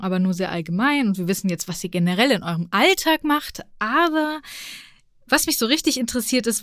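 A woman's voice: lowish voice, smooth, no room sound, studio quality. A woman hosting a casual podcast interview, informal and playful in the way she asks questions. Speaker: podcast host.

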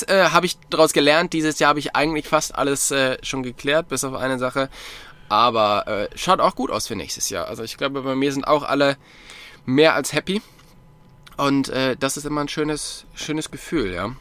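Bright-voiced man chatting casually. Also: mid-range voice, normal speed. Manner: relaxed, rambling with many fillers. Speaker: podcast co-host, sponsored cyclist. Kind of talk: casual chat.

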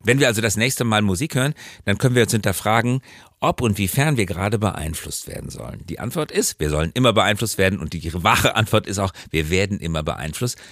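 Low-pitched man hosting a podcast. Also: expressive, fairly quick, a bit bright, no room sound, studio quality. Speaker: podcast host. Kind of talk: conversational podcast commentary.

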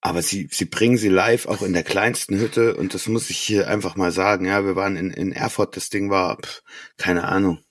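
A man's voice: sits low, fairly even intonation, a little fast, no room sound. A man casually discussing music on a podcast, gushing and emphatic, with plenty of slang. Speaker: podcast co-host.

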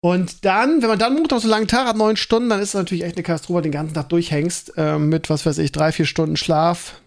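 A man talks alone as a podcast host. His voice sits slightly high for a man. He speaks casually and colloquially, wandering through his thoughts and interrupting himself as he goes. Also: quick pace. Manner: rambling, self-interrupting, colloquial. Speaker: school teacher. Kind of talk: casual solo podcast monologue.

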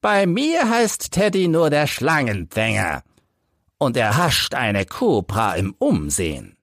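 A man voicing an embittered old crippled soldier who tells his tale in a halting, dramatic way for an audiobook. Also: mid-range voice, wildly swooping pitch, a bit bright, no room sound, clean studio sound, pitched above the performer's own voice, full character voice. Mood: proud, plain. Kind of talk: audiobook character reading.

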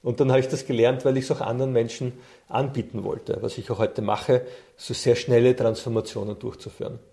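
A middle-aged man speaks as an interview guest with a mellow, relaxed tone. He is a coach and trainer who tells personal stories in a conversational way, at an average male pitch. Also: brisk.

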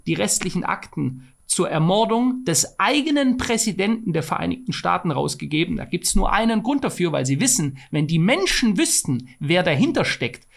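A man's voice: high voice, very animated, normal speed, gravelly, hardly any pauses. A man co-hosting a podcast, talking in an emphatic, argumentative way, his voice climbing when he stresses a point. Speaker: podcast co-host. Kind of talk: casual conversational podcast.

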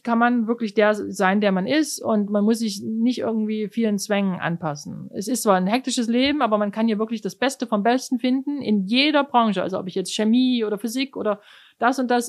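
A middle-aged woman from eastern Germany, a cellist being interviewed, speaking in a thoughtful way with many fillers and restarts. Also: fairly high pitch. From East Germany. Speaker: orchestra cellist, interview guest. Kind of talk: casual conversational interview.

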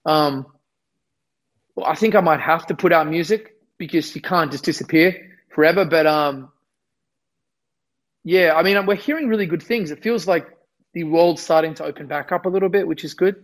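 A young man talks through an interview in a fairly high voice that sounds a little worn from losing it. He rambles with lots of restarts and pokes fun at himself. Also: normal speed. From Australia. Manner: rambling, self-deprecating, many restarts. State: losing his voice. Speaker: musician guest. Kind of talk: casual interview chat.

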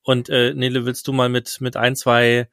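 A man of ordinary pitch chatting as a podcast co-host, rambling loosely from one point to the next. He sounds conversational and unhurried. The recording is dry, with no room around him. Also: completely flat delivery, fairly bright tone, no echo. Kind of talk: casual podcast chat.

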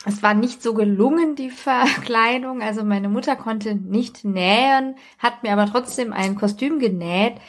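A woman's voice: fairly high pitch, normal speed, few pauses. A woman chatting casually and anecdotally on a podcast. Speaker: podcast co-host or guest.

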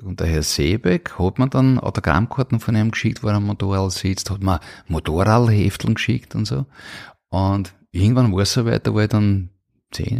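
A man's voice: on the low side, vocal fry, darkish tone. A middle-aged man with an Austrian accent, casually telling stories from his own life in an interview. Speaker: interview guest. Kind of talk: casual autobiographical storytelling.

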